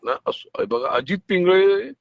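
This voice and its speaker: A man's voice: high-pitched, lively intonation, moderate pace, vocal fry. A man giving defensive, placating, often repeated replies on a lo-fi recorded phone call. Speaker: political party liaison official.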